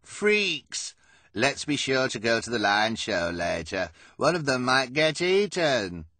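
A man's voice: low-pitched, expressive, normal speed, sharp tone, no room sound, heavily acted. A man voicing sneering, bloodthirsty male carnival visitors in a storybook, with gleeful jeering.

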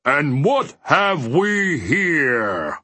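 A man's voice: mid-range voice, sing-song, unhurried, a bit bright, no echo. A man voicing a huge gelatinous giant in a storybook, ferocious and peevish but secretly timid and indecisive. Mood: roaring, furious. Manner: bellowing, hedging, indecisive.

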